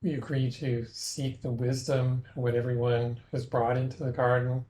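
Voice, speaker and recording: A man guides a meditation in a very soft-edged, dark and mellow voice at a middling pitch. He speaks gently and in measured phrases, leaving plenty of space between them as he invites the listener to imagine. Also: level tone, rough recording. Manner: gentle, measured, with long pauses.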